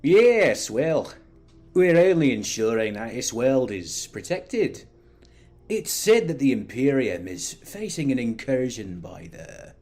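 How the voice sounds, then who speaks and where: Man voicing a husky, smugly polite alien diplomat. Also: medium pitch, sing-song, a little mellow, faint music behind. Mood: feigned forgetfulness. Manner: courteous, measured, veiled threats.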